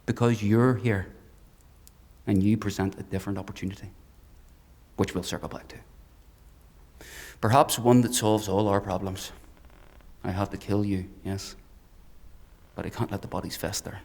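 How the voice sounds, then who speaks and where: An actor playing a menacing, fanatical hunter in a dark fantasy audio drama, speaking in a deliberate, taunting, theatrical way. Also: male voice, lowish voice, steady tone. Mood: gloating, taunting. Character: menacing male fanatical hunter, coldly articulate, mocking.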